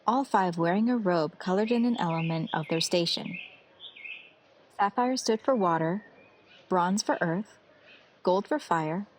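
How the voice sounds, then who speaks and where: A woman's voice: fairly low pitch, slight background noise. A woman reading scripted fantasy story narration as the narrator of an audio series. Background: festival crowd ambience.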